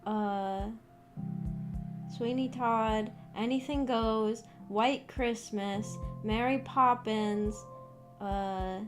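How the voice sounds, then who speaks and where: A woman's voice: on the high side, steady tone, very slow. Casual woman chatting over background music. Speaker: livestream host chatting with viewers. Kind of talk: casual livestream chat.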